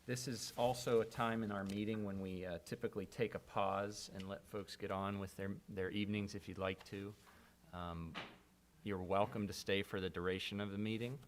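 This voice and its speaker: A man chairing a school board meeting, moving through procedure in a relaxed, rambling way with fillers. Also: on the low side, normal speed, slight background noise.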